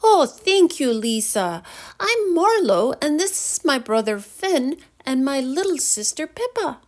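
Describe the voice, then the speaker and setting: A woman voicing a tiny, kind storybook fairy with a soft, musical, earnest way of speaking. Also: high-pitched, big pitch swings, unhurried, voice pushed up. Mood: grateful. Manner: gentle, earnest, storybook phrasing.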